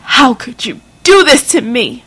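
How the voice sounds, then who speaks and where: A woman voicing an enraged, cursing middle-aged mother who shouts through tears. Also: high voice, animated, moderate pace, pitched above the performer's own voice. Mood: hurt.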